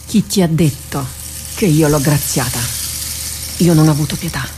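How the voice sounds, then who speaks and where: A woman playing a tormented, haunted warrior captain in a radio drama, halting and brooding, repeating herself. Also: low voice, dark tone, lightly acted. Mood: troubled. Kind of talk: theatrical radio drama acting. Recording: noisy radio drama recording with clicks.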